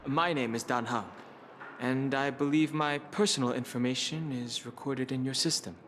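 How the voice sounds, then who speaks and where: An actor playing a reserved, courteous and serious young adventurer in a game, with a formal, even delivery. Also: male voice, mid-range voice, moderate pace, slight background noise, close to an everyday voice. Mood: calm, formal.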